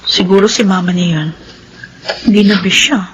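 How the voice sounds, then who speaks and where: A woman's voice: mid-range voice, fairly even intonation, moderate pace, slight background noise, close to an everyday voice, rough recording. A woman with a Filipino accent acting a resentful, hot-tempered young daughter in a radio drama, emotional and emphatic. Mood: suspicious.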